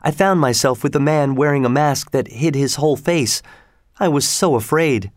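A man's voice: mid-range voice, moderate pace, no room sound, clean studio sound, pitched above the performer's own voice, natural voice. A man voicing a frightened, earnest young woman opera singer in a dramatic storybook reading. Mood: troubled.

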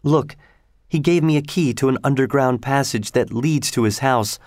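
A man's voice: medium pitch, moderate pace, a little mellow, no room sound, studio quality, natural voice. A man voicing a frightened, earnest young woman opera singer in a dramatic storybook reading. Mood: pleading.

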